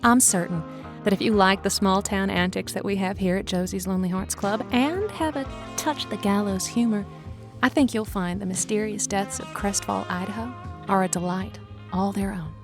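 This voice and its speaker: A woman's voice: medium pitch, fairly even intonation, moderate pace, over music, lightly acted. An actress playing a quirky, chatty woman who hosts a lonely-hearts radio show, wordy and playfully self-deprecating. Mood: chirpy, playful. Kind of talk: scripted fiction podcast, conversational radio-host patter.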